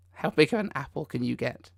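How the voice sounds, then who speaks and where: A man with a mid-pitched voice hosts a live reaction stream. He talks informally and off the cuff, with an incredulous edge to his commentary. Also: lively intonation, a little slow, vocal fry, slightly rough. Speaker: livestream host.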